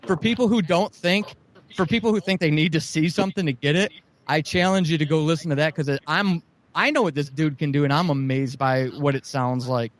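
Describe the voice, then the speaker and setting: A man's voice: on the high side, brisk. A man speaking as an interview guest, earnestly and emphatically promoting audio shows with effusive enthusiasm. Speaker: guest, audio drama producer.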